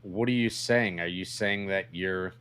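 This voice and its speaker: A man's voice: deep voice, measured pace, a bit bright, clean tone. A man co-hosting a podcast in casual, bantering chat, crude and joking, often questioning his partner. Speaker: podcast co-host.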